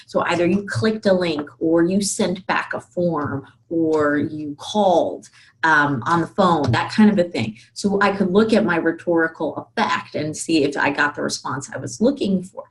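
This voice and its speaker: A woman's voice: low-pitched, poor audio. A woman lecturing in a conversational, explanatory way, thinking aloud and often checking in with rhetorical questions. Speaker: university lecturer.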